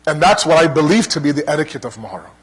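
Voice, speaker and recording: High-voiced, husky Canadian preacher in a hall. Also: male voice, expressive. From Toronto, Canada. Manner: earnest, rhetorical, deliberate parallel phrasing with pauses. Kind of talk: religious lecture to a congregation. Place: large hall, speaking to a gathering. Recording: long hall reverb.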